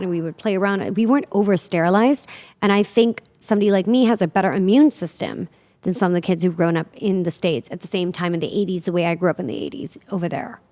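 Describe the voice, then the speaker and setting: A woman in her forties hosts a relaxed interview in a fairly low voice. She talks in a loose, chatty way and fills her sentences with "like" and "you know". She grew up in Pakistan. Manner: chatty, loose, lots of fillers and "like". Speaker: podcast host. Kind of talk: casual interview chat.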